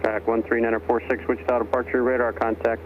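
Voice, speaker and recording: A man's voice: medium pitch, flat delivery, fast. A man working as an air traffic controller, giving clipped procedural instructions over aviation radio. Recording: narrowband aviation radio with engine noise. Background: airplane engine noise.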